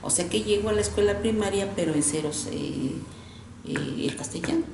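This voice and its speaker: A woman from Mexico, a teacher whose first language is Otomi, recounting her schooling in a measured, hesitant way. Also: mid-range voice, gravelly, roomy sound, background noise. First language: Otomi (Hñähñu). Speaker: teacher, interviewee.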